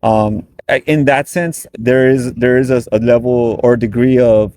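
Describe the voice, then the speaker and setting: A man's voice: medium pitch, fairly even intonation, measured pace, darkish tone. A man, a band member and native English speaker, talking at length in a casual interview, rambling and correcting himself. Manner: rambling, chatty, self-correcting.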